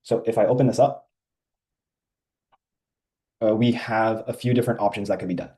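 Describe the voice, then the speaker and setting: A man giving an informal, step-by-step software walkthrough as a demo presenter. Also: fairly low pitch, fairly even intonation, moderate pace, poor audio. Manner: explanatory, step by step.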